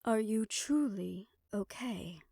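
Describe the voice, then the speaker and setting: A woman playing a reformed obsessive doctor in a fantasy audio roleplay, speaking in a measured, formal, softly teasing way with quiet care. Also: mid-range voice, lively intonation, gravelly, no room sound, studio quality, full character voice. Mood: concerned, probing.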